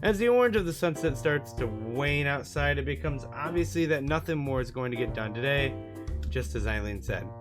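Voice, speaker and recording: A man's voice: mid-range voice, lively intonation, normal speed, music in the background. A man doing let's play narration, reading visual novel text aloud as a gaming host and sometimes stumbling over words.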